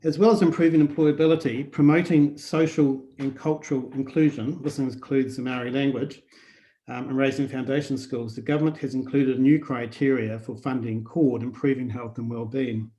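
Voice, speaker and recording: Measured New man presenting. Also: medium pitch, dull audio. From New Zealand. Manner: steady, measured delivery with listed points. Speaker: conference speaker. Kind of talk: prepared conference talk. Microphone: video call.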